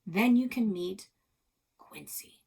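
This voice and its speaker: A grumpy old grandpa, as a woman reader puts him on from a children's story. He sounds gruff and exasperated, with a husky edge to his scolding. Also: mid-range voice, slow, dark tone, pitched above the performer's own voice, exaggerated voice. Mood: stern, grumpy.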